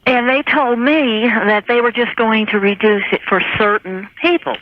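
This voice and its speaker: A woman's voice: fairly high pitch, normal speed, slight background noise. A woman calling a radio show, airing a gripe in casual conversation over a dull telephone line.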